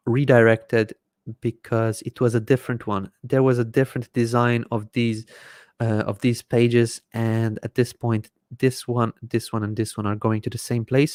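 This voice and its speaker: Man, 30s, native Hungarian speaker; clear, smooth tone, dry close desk-mic sound; patient step-by-step Q&A host. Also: medium pitch, no room sound. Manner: explains step by step while clicking through software. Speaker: host answering viewer questions. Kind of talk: live Q&A with screen-share demonstration. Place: small indoor room at a desk. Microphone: desk microphone in front of face.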